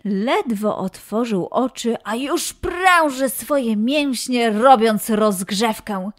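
A woman narrating a children's story in an expressive style. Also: on the high side, slow pace.

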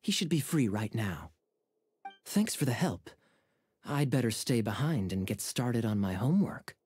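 A man's voice: medium pitch, big pitch swings, a little slow, a little mellow, husky, no room sound, clean studio sound. A man voicing a suave, wry young knight captain in a video game. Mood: easygoing.

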